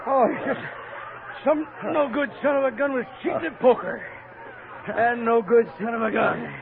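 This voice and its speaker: A friendly, garrulous cowpuncher from a radio western. The voice is strikingly high, with a folksy American Western drawl and boisterous manner. It sounds like a narrow old broadcast with a saloon crowd behind it. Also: male voice, normal speed, background noise. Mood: rowdy. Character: rowdy, friendly, talkative cowpuncher in a frontier saloon. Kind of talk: 1950s radio western character acting.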